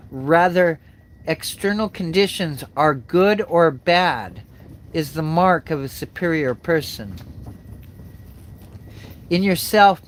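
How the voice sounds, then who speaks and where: A man with a stuffed-up nose from a cold, hosting a spiritual livestream, speaking slowly and haltingly with many fillers, then reading a passage aloud. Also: a little high, a little hiss or hum, poor audio. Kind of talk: slow reflective spiritual talk and reading aloud.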